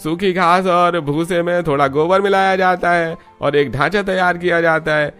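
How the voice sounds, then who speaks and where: A man's voice: high voice, steady tone, normal speed, fairly bright tone, smooth, faint music behind. A man voicing a helpful, knowing village vegetable seller in a cartoon, reassuring and explanatory.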